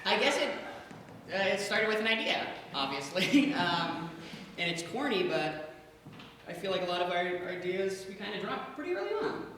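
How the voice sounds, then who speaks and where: A man's voice: high voice, big pitch swings, normal speed, pushed and bright. A man speaking informally to a live audience as co-creator of a stage show. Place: indoor venue with live audience. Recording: echoey hall, distant mic, faint noise.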